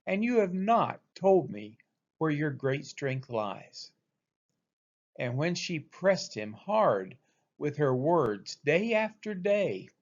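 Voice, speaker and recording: A man reading scripture aloud as expressive narration, with deliberate, dramatic emphasis on quoted speech. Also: a little high, highs cut off. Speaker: scripture reader.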